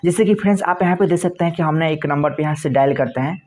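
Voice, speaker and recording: A man narrates a tech tutorial. His voice sits a little high and he moves along quickly. He gives instructions in repetitive, step-by-step phrasing. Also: a little mellow. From India.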